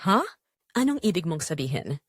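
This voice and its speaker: A woman's voice: low voice, big pitch swings, moderate pace, no echo, clean studio sound. A woman voicing a lonely, regretful migrant worker in a drama story, plaintive and confessional, with a Filipino accent. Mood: anxious.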